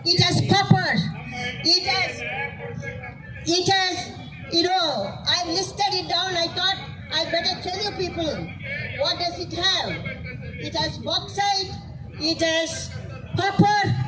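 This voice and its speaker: A woman, her voice worn hoarse, giving a fervent rally speech, shouting and asking rhetorical questions. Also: extremely high pitch, slow pace, rough recording. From India. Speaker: activist speaking at a rally. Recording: speech over a cheering crowd.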